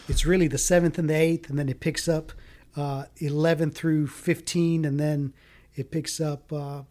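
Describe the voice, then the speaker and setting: A man raised in the American South whose first language was Greek, talking earnestly in a casual interview, with many halting fillers. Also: fairly high pitch, flat delivery, warm tone. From Fayetteville, North Carolina. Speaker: city council candidate.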